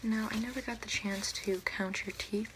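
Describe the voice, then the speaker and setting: A young woman whispering slowly and deliberately in an ASMR roleplay as a doctor, breathy and close to the mic. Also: medium pitch, steady tone, noisy background. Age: young adult. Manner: slow, deliberate, repetitive counting and instructions. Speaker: ASMR performer role-playing a doctor giving a check-up. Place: small room at home, indoors. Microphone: close to the camera or mic, seated.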